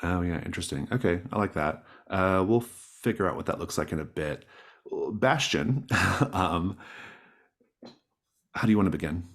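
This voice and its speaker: A man's voice: deep voice, animated, moderate pace, fairly bright tone. A man speaking casually and encouragingly as a game master, explaining rules and setting to his players. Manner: encouraging, affirming players' ideas.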